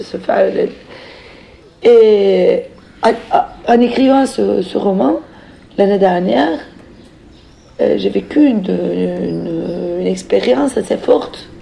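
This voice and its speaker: Creaky-voiced woman with a Turkish accent. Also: mid-range voice, dark tone, rough recording. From Turkey. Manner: halting, searching for words, repeats phrases for emphasis. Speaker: novelist speaking as a guest. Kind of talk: reflective personal account, spoken off the cuff.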